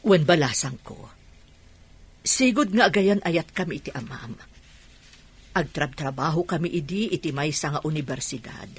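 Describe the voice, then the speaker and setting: A woman playing a tender, hesitant older trainer and long-lost sweetheart in a Philippine radio drama, with a husky voice. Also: low voice, animated, normal speed, long pauses, exaggerated voice. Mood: wistful, tender.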